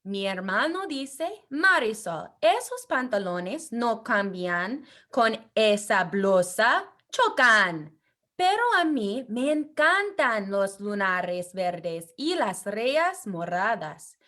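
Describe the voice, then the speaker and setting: A woman co-hosting a children's bilingual read-aloud over a video call, speaking with expressive enthusiasm. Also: medium pitch, slow pace. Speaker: bilingual language teacher and read-aloud co-host.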